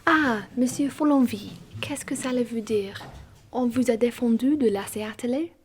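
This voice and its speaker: A woman playing a dignified, indignant aristocratic gentleman traveller in an amateur radio play, speaking formally and emphatically. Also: on the high side, a little slow, slight background noise, close to an everyday voice. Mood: indignant, commanding.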